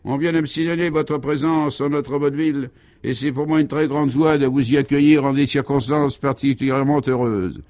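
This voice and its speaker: A man's voice: mid-range voice, fairly even intonation, moderate pace, a little mellow, lightly acted. A man voicing a fat, jovial small-town mayor in a comic radio serial, declamatory and unshakably optimistic. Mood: jovial welcome.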